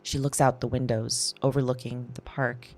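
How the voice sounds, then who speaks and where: A woman playing an anxious, shaken woman recording an audio diary, halting and breathy, repeating herself and dropping into whispers. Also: a bass voice, flat delivery, darkish tone, slight background noise. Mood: hushed, uneasy. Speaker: audio drama performer. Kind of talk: intimate confessional audio diary acting.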